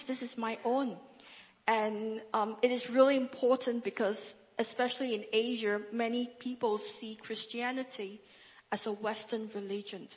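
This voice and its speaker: A woman speaks earnestly in a somewhat high voice, often restarting and repeating her words. Her is a Chinese dialect. A long reverberation from a large hall trails her speech. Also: fairly bright tone. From northern Malaysia. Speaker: guest speaker, Bible translator. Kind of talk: testimony speech in church.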